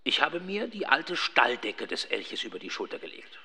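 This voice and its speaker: A man playing a pompous, self-satisfied professor of ghost research in a children's radio play, husky and halting as he savors his own account. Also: medium pitch, very animated, pushed and bright, exaggerated voice. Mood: matter-of-fact.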